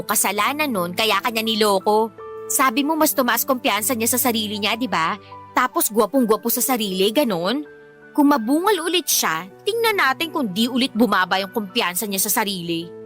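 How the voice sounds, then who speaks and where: Casting: sassy, scolding Filipina best friend for radio drama, high and sharp, emphatic. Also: female voice. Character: outspoken, sassy, indignant best friend of a jilted woman.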